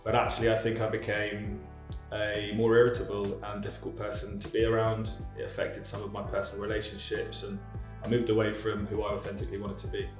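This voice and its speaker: A man in his thirties from Surrey speaks in a measured, reflective way. His low voice stays fairly flat and rings out in a very echoey space with faint music. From Surrey, England. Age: 30s. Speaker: interviewee.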